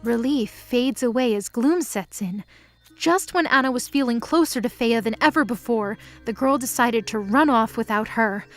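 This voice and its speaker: A woman's voice: a little high, normal speed, soft background music. A woman narrating a scripted present-tense mythology drama.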